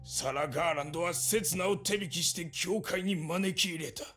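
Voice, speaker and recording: A man playing an excitable, accusing church follower in a Japanese visual novel, declaiming like a game voice actor. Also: a little high, fast, slightly rough, soft background music. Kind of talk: Japanese video game voice acting.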